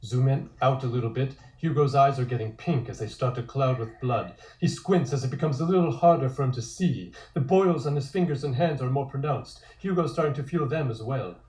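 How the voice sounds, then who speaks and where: A man's voice: medium pitch, brisk, few pauses, a little mellow. A man narrates scripted scene directions for a horror comic in a table-read style. His mid-range voice sounds roomy and echoey. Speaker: narrator reading stage directions.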